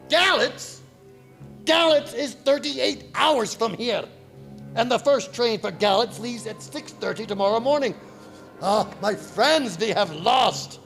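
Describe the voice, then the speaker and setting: A man playing a commanding older professor and vampire hunter, deliberate and theatrical, in a radio play. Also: very high voice, lively intonation, gravelly, fairly bright tone, soft background music, exaggerated voice. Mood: dismayed. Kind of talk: old-time radio acting.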